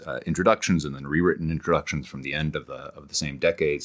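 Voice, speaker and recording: A man speaking as an interview guest, explaining ideas conversationally like an animated storyteller who acts out paraphrased quotes. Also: low voice. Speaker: interview guest, author and scholar.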